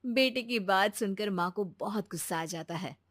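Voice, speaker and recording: A woman narrating a cartoon moral story in a calm, even storytelling manner. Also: medium pitch, normal speed, no room sound, studio quality.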